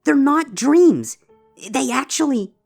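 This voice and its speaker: A woman's voice: high-pitched, sing-song, slow, vocal fry, darkish tone, heavily acted. A woman voicing a cute, frightened little filly pony in a dramatized story reading, pleading and childlike. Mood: frightened, upset. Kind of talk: dramatized fan fiction reading. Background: background music.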